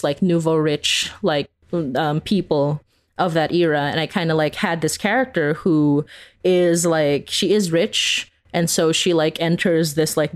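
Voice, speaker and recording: A woman answering interview questions casually and conversationally, often saying "like" and chuckling as she explains. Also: sits low. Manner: peppers talk with "like", chuckles while explaining. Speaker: interview guest, audio fiction creator.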